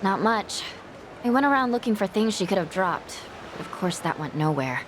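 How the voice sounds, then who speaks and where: A woman's voice: medium pitch, big pitch swings, normal speed, background noise, lightly acted. A woman voicing a guarded young woman in a video game drama, sardonic and teasing, with a hesitant delivery. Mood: sarcastic, brisk. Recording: music behind the voice.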